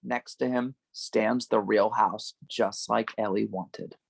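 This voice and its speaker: A man narrating a screenplay's stage directions at a table read, with a gravelly voice. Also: fairly low pitch, fairly even intonation, slow, no echo.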